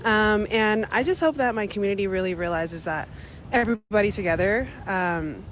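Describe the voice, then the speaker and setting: A woman giving a sincere interview answer, pausing with "um" as she speaks. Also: mid-range voice, slight background noise. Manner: sincere, hesitant with "um". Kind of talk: street interview.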